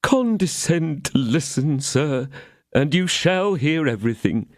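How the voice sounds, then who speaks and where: A man's voice: on the high side, lively intonation, a little slow, no room sound, clean studio sound, pitched above the performer's own voice. A man voicing a frightened, fawning innkeeper who pleads in a lamenting tone. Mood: pleading. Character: trembling fawning middle-aged male innkeeper.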